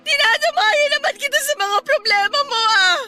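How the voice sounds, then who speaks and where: A woman's voice: very high-pitched, animated, moderate pace. A woman playing an agitated, pleading young woman in her twenties in an emotional Filipino radio drama. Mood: tearful, scolding. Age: young adult. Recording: music bed under the voice.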